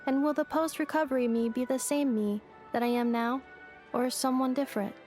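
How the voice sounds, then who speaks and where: A woman's voice: high voice, fairly even intonation, brisk, darkish tone, faint music behind, lightly acted. A woman voicing an earnest, curious robot girl with memory loss, speaking in stilted, literal phrases for a video game. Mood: earnest, matter-of-fact.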